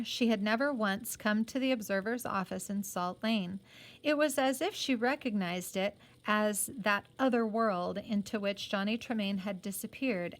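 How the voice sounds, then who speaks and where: A woman reading a story aloud in a careful, teacherly way, with occasional explanatory asides. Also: medium pitch. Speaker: reader of a children's novel aloud.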